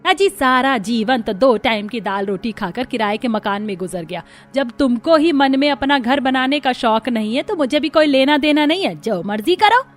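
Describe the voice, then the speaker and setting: A woman plays a sharp-tongued mother-in-law from a poor cartoon household. Her voice sits fairly high as she nags and complains, with music underneath. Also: lively intonation, normal speed, hardly any pauses. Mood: nagging. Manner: nagging, complaining. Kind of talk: Hindi cartoon story acting.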